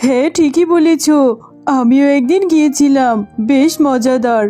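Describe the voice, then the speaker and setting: A woman's voice: high-pitched, unhurried, dark tone, faint music behind. A woman voicing an agreeable tigress neighbour in a children's cartoon, chatty and approving.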